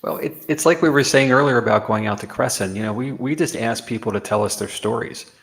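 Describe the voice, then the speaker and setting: A man's voice: medium pitch, brisk, a bit bright. A man talking as a guest investigator in a casual podcast chat, earnest and thoughtful, repeating words as he searches for them.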